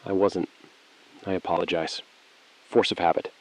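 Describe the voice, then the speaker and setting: An actor playing a calm, evasive chief financial officer in an audio thriller, speaking in a measured, polite, guarded way. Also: male voice, deep voice, dry recording, natural voice, rough recording.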